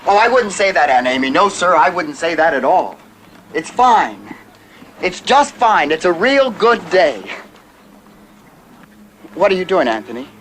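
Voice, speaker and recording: A man's voice: sits high, very animated, a little slow, long pauses, fairly bright tone, full character voice. An actor playing a nervous, fawning small-town American man, folksy and over-eager, in a vintage television drama with a noisy soundtrack. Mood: anxious, placating.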